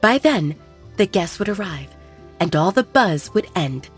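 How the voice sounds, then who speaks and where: A woman's voice: lowish voice, big pitch swings, measured pace. A woman reading scripted dramatic narration as the narrator of an audio story. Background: background music.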